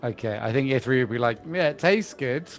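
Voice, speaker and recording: Male player talking over clear music. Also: medium pitch, expressive, fairly quick. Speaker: player in a tabletop game. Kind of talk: casual table chat, describing his character's reactions. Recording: music playing behind the voice.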